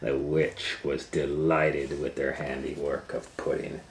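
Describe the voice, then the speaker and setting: A man reading a children's storybook aloud as host, with expressive, drawn-out storytelling. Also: extremely low pitch, a little hiss or hum. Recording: roomy, echoing indoor sound.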